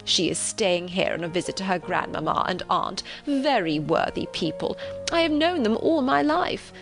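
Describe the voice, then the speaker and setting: Lady reader doing a polite fussy old man, gentle and worrying, voice pitched up a bit, audiobook with music under it. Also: big pitch swings, moderate pace, creaky. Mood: courteous. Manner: courteous, fretful, long-winded. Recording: relaxing music behind the voice.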